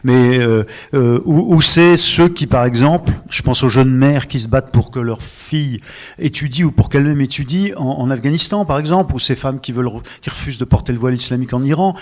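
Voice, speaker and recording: A man's voice: medium pitch, a little fast. A man moderating a literary panel at a book fair, asking questions in a hesitant way with many restarts.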